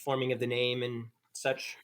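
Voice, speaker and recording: A man's voice: mid-range voice, completely flat delivery, normal speed, a bit bright. A man hosting an interview podcast, talking chattily and often stumbling and restarting his sentences. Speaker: podcast host. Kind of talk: hosted interview.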